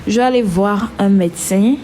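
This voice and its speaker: A woman's voice: mid-range voice, moderate pace, dark tone, slight background noise. A woman hosting a casual live stream who has a cold and talks in a chatty way, trailing off and restarting. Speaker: livestream host.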